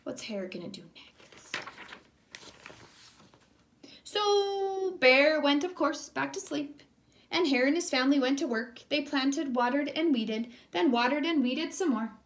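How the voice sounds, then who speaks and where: A woman's voice: high voice, expressive, measured pace. A woman teacher reading a children's storybook aloud expressively, speaking directly to young listeners.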